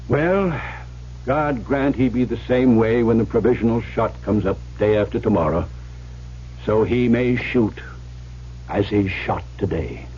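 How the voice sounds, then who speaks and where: An actor playing a rustic storyteller in an old-time radio drama, telling a spooky folk tale with relishing, dramatic, old-fashioned diction. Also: male voice, lowish voice, unhurried, lightly acted. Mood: sober. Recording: muffled vintage radio recording with hum.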